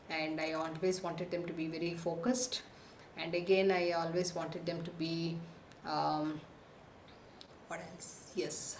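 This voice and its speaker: A woman's voice: fairly low pitch, reverberant, slight background noise. A woman hosting a live online parenting workshop on a video call, reading participants' answers from the chat and checking in with the class. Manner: reads lists of answers aloud, checks in with the class often. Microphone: computer mic on a video call.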